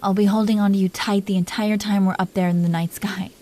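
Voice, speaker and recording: A woman's voice: medium pitch, level tone, brisk, mellow, lightly acted. A woman playing a protective, doting dragon queen who teases her lover tenderly in an intimate roleplay. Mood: tender, reassuring. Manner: tender, playfully teasing, breathy whispers at times. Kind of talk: intimate roleplay audio, soft acting.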